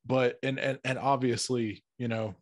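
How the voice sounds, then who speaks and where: A man's voice: medium pitch, fairly even intonation, moderate pace. A man in his thirties hosting a casual interview, speaking conversationally into a close microphone. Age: 30s. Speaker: interviewer, host. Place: small home room indoors, on a video call. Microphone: close microphone on a boom arm, with headphones.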